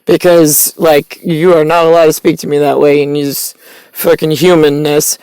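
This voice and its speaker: A woman's voice: low voice, level tone, moderate pace, mellow, clear, resonant tone, dry recording, poor audio. A woman telling a personal family story in a rambling, disjointed way, swearing often and stumbling through fillers and self-corrections. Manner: disjointed, profane, agitated retelling.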